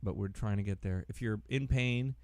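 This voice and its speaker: A man's voice: lowish voice, normal speed, a little mellow, no room sound. A man hosting a podcast in loose, casual conversation. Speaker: podcast host.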